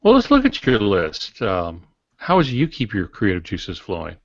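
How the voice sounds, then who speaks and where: Low-voiced man explaining things on a podcast. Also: huge pitch swings, moderate pace, dry recording. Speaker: podcast co-host. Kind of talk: casual podcast discussion. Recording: dull, dry lo-fi podcast audio.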